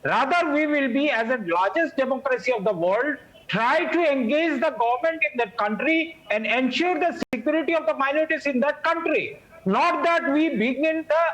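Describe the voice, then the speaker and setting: A man, speaking as a panelist in a heated TV news debate, combative and quick to interrupt. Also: extremely high pitch, a bit bright, highs cut off. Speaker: political panelist.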